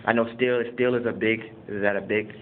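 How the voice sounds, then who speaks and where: Guy with a lowish voice interviewing someone, stumbles and repeats himself, tinny phone sound, slight hiss. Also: steady tone. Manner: halting, repeating himself, many fillers. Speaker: student interviewer. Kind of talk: casual interview questions. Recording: tinny phone-like line with faint background noise.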